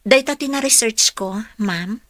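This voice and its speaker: A woman's voice: fairly high pitch, unhurried, fairly bright tone, no room sound. A woman with a Filipino accent playing an eager, polite young graduate in a radio drama. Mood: earnest, respectful. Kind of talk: Ilocano radio drama acting.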